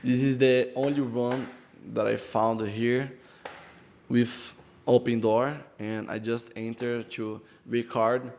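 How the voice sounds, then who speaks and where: Slow, gravelly man. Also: medium pitch, flat delivery, darkish tone. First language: Portuguese. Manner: halting learner English with restarts and fillers. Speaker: vlogger. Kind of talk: casual English practice vlog. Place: empty room he found with an open door.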